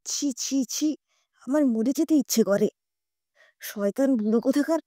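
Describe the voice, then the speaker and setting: A woman voicing a fussy, sharp-tongued older woman who grumbles and scolds in an audio story. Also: high voice, a little slow, dry recording, clean studio sound. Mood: disgusted.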